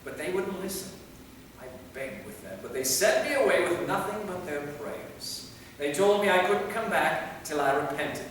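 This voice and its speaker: Man acting a high-voiced, anguished vampire in an echoey hall. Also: expressive, moderate pace, fairly bright tone. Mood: grieving, bitter. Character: anguished, weary, bitter immortal male vampire. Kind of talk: staged reading of a stage play. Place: theater, recorded from the audience distance. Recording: echoey hall from far off, faint noise.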